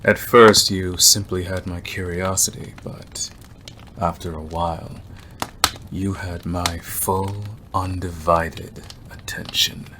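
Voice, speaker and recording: An obsessive werewolf stranger played by a man speaks very low and slowly, teasing and menacing his prey in an ASMR roleplay. He leaves long, deliberate pauses, and clear background noise with clicks sits behind the voice. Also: level tone. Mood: sly, intent.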